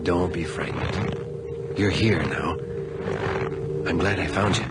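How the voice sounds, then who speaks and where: An actor playing a secretive backwoods man in a radio horror drama, terse, evasive and quietly insistent. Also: deep voice, slow pace. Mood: eerily calm. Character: eerily calm, secretive backwoods country man. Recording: noisy archived radio drama.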